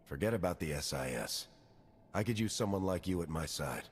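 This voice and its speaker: A man playing a composed, authoritative heroic commander in a video game, speaking in a measured, steady way. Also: sits low, soft background music. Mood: steady, encouraging. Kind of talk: video game dialogue acting. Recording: long reverberant hall.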